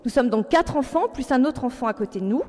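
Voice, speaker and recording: A woman's voice: sits high, lively intonation, quick pace, a little mellow. A woman in her forties giving a public personal testimony in a hall, earnest, moving between read text and spontaneous asides. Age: 40s. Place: large hall with an audience. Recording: long hall reverb.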